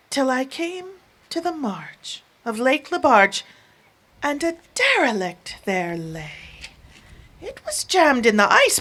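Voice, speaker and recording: A woman's voice: on the high side, breathy edge. A woman giving a dramatic live recitation of narrative verse, theatrical and emphatic. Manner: theatrical, emphatic, with hushed asides. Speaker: poetry reciter. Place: live performance before listeners. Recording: live performance, faint background noise.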